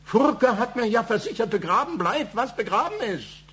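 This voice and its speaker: A man's voice: very high voice, very animated, normal speed, sharp tone, slightly rough, exaggerated voice. An older male actor playing a proud, defensive, self-righteous father in a German radio crime drama, speaking with indignant emphasis.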